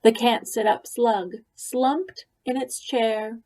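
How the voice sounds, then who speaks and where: A woman reading a children's picture book aloud, telling the story slowly and gently. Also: fairly high pitch. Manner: slow, gentle storytelling with lively quoted lines. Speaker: reader.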